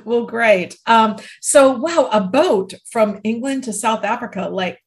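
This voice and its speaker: An upbeat, enthusiastic woman hosting a podcast, opening with a scripted intro and moving into relaxed interview chat. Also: fairly high pitch, measured pace. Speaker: podcast host. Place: island home in Mexico.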